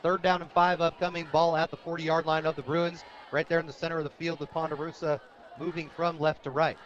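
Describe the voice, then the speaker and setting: A man calling live high school football play-by-play for radio, describing each moment in detail. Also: level tone, fairly quick, a faint crowd. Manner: descriptive, rising to shouts on big plays. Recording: dull, lo-fi radio broadcast.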